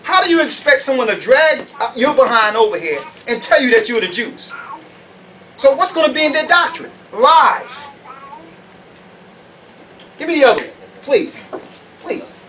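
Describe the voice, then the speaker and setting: A male preacher with a notably high-pitched voice delivers a fiery, confrontational sermon. He leans on emphatic rhetorical questions as he addresses his audience. The recording is picked up from a distance with some background noise. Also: sing-song, measured pace, long pauses, sharp tone. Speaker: preacher, conference speaker. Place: conference room or hall. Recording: distant mic, noisy room ambience.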